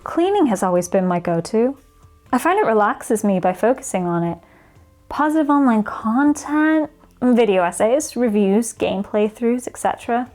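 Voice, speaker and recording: A woman's voice: on the high side, expressive, normal speed, a little mellow. A woman reading out a personal testimony as a guest contributor. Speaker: guest reader of a written community response. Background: background music.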